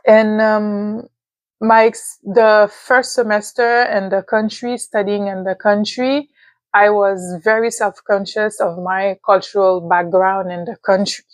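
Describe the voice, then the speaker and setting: Haitian-accented woman vlogger, calm and deliberate, slow talk, dry room sound. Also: mid-range voice, no echo. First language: Haitian Creole and French. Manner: slow, thoughtful, with pauses and fillers. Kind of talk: casual advice talk to camera.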